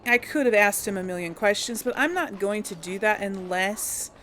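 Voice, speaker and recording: A woman's voice: medium pitch, moderate pace, fairly bright tone, a little hiss or hum. A woman hosting a casual role-play game playthrough, thinking aloud in a rambling way. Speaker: let's play host.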